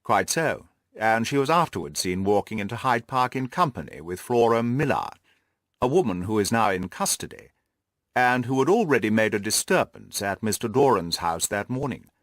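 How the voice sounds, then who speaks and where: A male reader acting a stuffy, haughty lord from a classic audiobook. He speaks formally and at length, full of self-importance, with lively swings of pitch in a close, dry recording. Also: normal speed, dry recording. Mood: self-justifying. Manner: pompous, formal, long-winded. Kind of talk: audiobook character dialogue.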